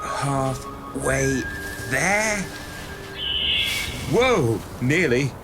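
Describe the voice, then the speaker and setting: A woman playing a cheeky, headstrong boy adventurer in an audio pantomime, brash and excitable. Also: a bass voice, extremely slow, over music, heavily acted. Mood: excited.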